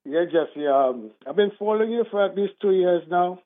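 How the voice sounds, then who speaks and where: Caller: man, rambling and earnest, fairly high voice over a tinny phone line. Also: lively intonation, normal speed. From Trinidad. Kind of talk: call-in talk radio.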